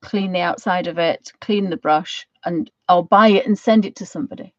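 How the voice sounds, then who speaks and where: A middle-aged British woman with a slightly croaky voice, giving a casual craft tutorial in a rambling, homely way, thinking aloud as she goes. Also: fairly low pitch, moderate pace, no room sound, highs cut off. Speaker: watercolour craft tutor hosting a live session.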